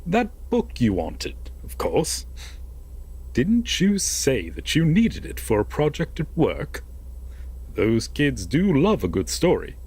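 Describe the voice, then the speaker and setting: A man playing an obsessive, possessive lover in an intimate roleplay audio drama, speaking deliberately and coaxingly with dramatic pauses and a husky voice. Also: on the high side, wildly swooping pitch, darkish tone, exaggerated voice. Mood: coaxing, smug. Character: possessive, obsessive lover telling a veiled fairytale.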